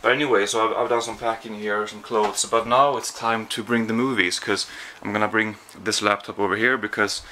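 A man's voice: fairly low pitch, fairly even intonation, brisk, hardly any pauses, bright, forceful tone, slight background noise, poor audio. Rambling young Swedish-accented man. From Sweden. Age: young adult. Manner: rambling, self-deprecating, sleepy. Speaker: vlogger. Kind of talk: casual vlog. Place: small apartment indoors. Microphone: handheld camera at arm's length.

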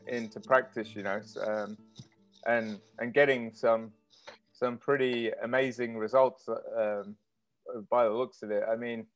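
30s guy from malaysia hosting a call, lots of ums and uhs, faint music under it. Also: medium pitch. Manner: hesitant, filler-heavy questions. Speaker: host. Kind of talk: casual video-call interview. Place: stone-walled home room.

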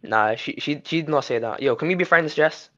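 A man's voice: on the high side, a little fast, a bit bright. A man on a video call, bragging casually about himself to the host. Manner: boastful, self-promoting. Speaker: stream guest. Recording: dull lo-fi call audio.

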